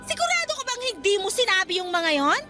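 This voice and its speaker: Furious, very high-pitched office worker. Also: female voice, very animated, quick pace, over music. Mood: angry, scornful. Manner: scornful, accusing, loud. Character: furious, confrontational young female office worker. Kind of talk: Tagalog radio drama acting.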